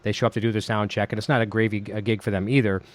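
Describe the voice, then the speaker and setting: A man's voice: lowish voice, little pitch movement, very fast. A middle-aged Canadian man hosting a casual podcast interview, chatty and easy-laughing, telling anecdotes. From Ontario, Canada. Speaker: podcast host.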